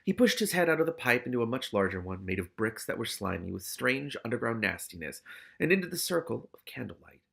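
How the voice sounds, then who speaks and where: Gravelly man reading a novel aloud. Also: mid-range voice, sing-song, brisk, fairly bright tone. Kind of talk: expressive read-aloud with casual asides.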